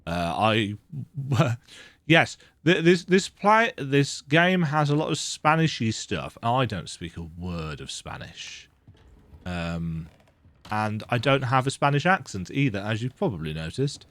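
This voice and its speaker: Slow, animated man with a slight cold. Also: mid-range voice. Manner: chatty, self-deprecating, easily startled. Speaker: gaming video host. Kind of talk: casual let's-play commentary. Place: dark room at home with lights off.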